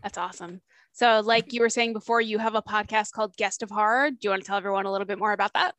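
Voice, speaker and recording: Quick-talking woman hosting a podcast. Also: mid-range voice, steady tone, a bit bright, no room sound. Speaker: podcast host. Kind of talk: casual podcast interview.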